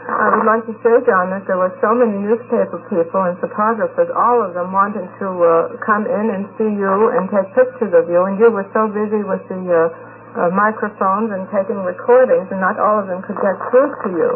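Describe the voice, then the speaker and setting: A woman on a radio panel telling anecdotes conversationally, in a polite, halting manner. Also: medium pitch, fairly even intonation. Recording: tinny, very noisy 1950s radio recording.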